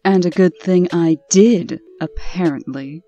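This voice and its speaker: A woman's voice: on the low side, dark tone, lo-fi sound, faint music behind, lightly acted. A woman voicing a stern, authoritative administrator in a comic dub. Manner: brisk, authoritative.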